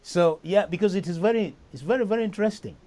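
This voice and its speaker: A man's voice: high-pitched, expressive, fast talker, warm tone. Eager man hosting a chat. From East Africa. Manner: enthusiastic, talkative, repeats himself. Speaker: host. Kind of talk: casual chat.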